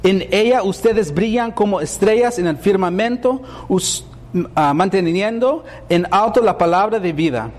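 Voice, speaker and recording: A man's voice: high-pitched, expressive, a little slow, a little mellow, in a large hall. Man preaching in learner's Spanish. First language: English. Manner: conversational, asks the congregation questions. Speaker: pastor. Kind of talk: bilingual sermon.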